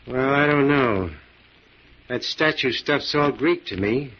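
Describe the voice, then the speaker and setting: An actor playing a tough, wary moneylender in a 1940s radio crime drama, talking in blunt slang. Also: male voice, mid-range voice, slow. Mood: skeptical. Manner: blunt, slangy, hard-bargaining. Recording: muffled old 1940s radio recording.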